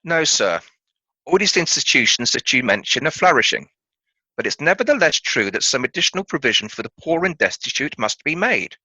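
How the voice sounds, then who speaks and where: Guy acting a courteous earnest gent collecting for charity in a radio play, bright forceful voice, lots of up and down. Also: mid-range voice, sing-song, brisk, husky, no echo, heavily acted, rough recording.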